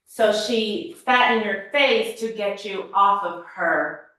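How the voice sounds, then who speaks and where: A woman prosecutor cross-examines a witness, pressing him with pointed, leading questions. Her voice sits at a middling pitch. It rings with heavy echo from the courtroom around her. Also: takes it slowly, bright, forceful tone. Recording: very echoey courtroom audio.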